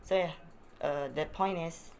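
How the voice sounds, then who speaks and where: Young Indonesian man, English not his first language, chatty vlog delivery, halting and repetitive, light background noise. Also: a little high, steady tone. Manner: hesitant, repeats phrases, many uh fillers. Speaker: vlogger. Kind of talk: casual self-introduction to camera.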